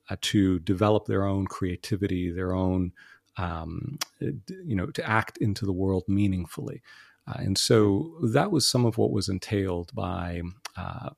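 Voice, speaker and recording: A man being interviewed as a podcast guest, explaining ideas in a measured way with frequent "uh" and "um" fillers. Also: deep voice, gravelly, slightly rough, no room sound, clean studio sound. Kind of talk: thoughtful interview answers.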